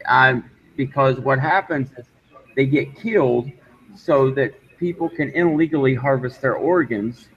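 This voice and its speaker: A man arguing his point in casual online talk, halting and insistent, often repeating himself. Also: medium pitch. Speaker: discussion guest. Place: online group voice call. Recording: dull, noisy voice-call audio.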